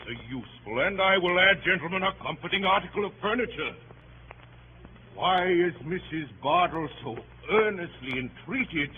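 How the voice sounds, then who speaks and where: An actor playing a pompous, bullying Victorian barrister in theatrical 1930s radio style, bombastic and sharply emphatic. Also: male voice. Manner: bombastic, grandiloquent, sharply emphatic, swooping from hushed to booming. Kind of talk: 1930s radio acting, courtroom oratory. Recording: dull, noisy vintage 1930s radio recording.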